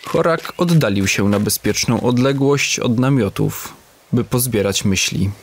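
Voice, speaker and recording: A man narrating an audio drama in a measured storytelling manner. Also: medium pitch, slight background noise.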